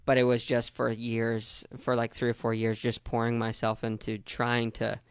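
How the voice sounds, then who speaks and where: A young adult man hosting a podcast, relaxed and encouraging, filling in with short affirmations. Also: mid-range voice, normal speed, clear, resonant tone, no echo, clean studio sound. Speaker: podcast host. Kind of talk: casual interview chat.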